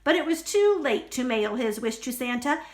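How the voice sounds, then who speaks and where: A woman reading a children's picture book aloud as a storyteller, expressive, deliberate and emphatic. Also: high-pitched. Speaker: librarian storyteller.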